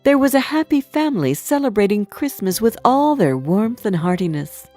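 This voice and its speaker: A woman narrating a storybook for English learners in a deliberate, expressive manner. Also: medium pitch, soft background music.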